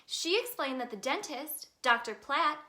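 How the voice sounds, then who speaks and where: High, bright-voiced woman reading to kids. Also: unhurried. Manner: expressive, sing-song, addressing young children. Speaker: storyteller in character as the Tooth Fairy. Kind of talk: animated children's picture-book read-aloud.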